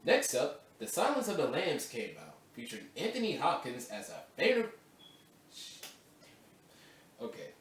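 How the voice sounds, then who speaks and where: A man's voice: fairly high pitch, huge pitch swings, normal speed, pauses often, fairly bright tone, a little hiss or hum. A man recording voice-over takes for a video essay. He chats casually between takes and restarts lines to correct his reading. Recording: roomy echo, distant mic, faint ambience.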